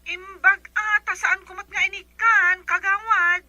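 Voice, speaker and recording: Woman as shrill storekeeper on a dull line. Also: fairly even intonation, measured pace, pushed and bright, no echo, exaggerated voice. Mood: complaining. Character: shrill storekeeper phoning to tattle about a debtor. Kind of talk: comedy drama acting. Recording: dull band-limited telephone-call sound.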